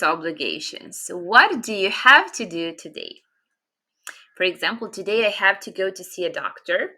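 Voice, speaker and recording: Young Serbian-native woman, bright tone, patient English teacher full of ums. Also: fairly low pitch. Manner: patient, explains slowly with many fillers. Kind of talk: casual online grammar lesson.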